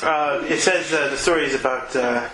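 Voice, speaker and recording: A man running a tabletop roleplaying game, narrating in a halting way with frequent filler pauses. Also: on the high side, reverberant, background noise. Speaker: game master.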